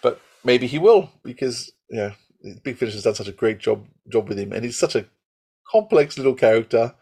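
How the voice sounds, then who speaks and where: Man, medium pitch, husky voice, casual podcast co-host, hesitant, repeats phrases. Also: expressive, a little fast, darkish tone.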